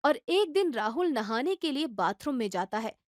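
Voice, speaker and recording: A woman narrating a scripted children's story in a steady, plain storytelling manner. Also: high-pitched, normal speed, dry recording, clean studio sound.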